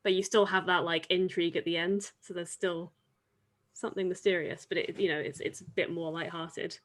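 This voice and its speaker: A woman's voice: fairly low pitch, little pitch movement, fairly quick. A woman giving casual feedback in a loose, hesitant way, with many likes and you-knows.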